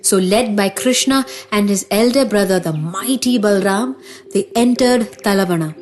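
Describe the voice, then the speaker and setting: A woman's voice: mid-range voice, moderate pace, a little mellow. A woman narrating a scripted children's mythology story as the storyteller. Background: music.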